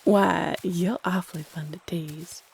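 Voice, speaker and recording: Woman as a teasing, sultry love spirit, gravelly purr. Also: lowish voice, steady tone, slight background noise. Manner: teasing, purring, drawn-out delivery. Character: teasing, sultry love spirit, unseen guide, playfully affectionate. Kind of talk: scripted roleplay audio drama.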